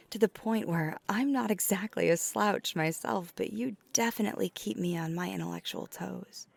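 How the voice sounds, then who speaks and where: Breathy, slow-spoken female vampire played by a woman. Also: lowish voice, full character voice. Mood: adoring, tender. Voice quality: breathy, often whispered. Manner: hesitant, earnest, gentle pauses. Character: flirty, tender female vampire confessing to a date. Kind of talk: soft ASMR roleplay, intimate confession.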